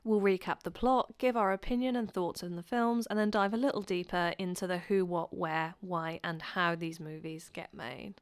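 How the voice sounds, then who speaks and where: A woman co-hosting a film podcast in casual chat, teasing and deadpan. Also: lowish voice, normal speed, few pauses, clear, resonant tone, dry recording.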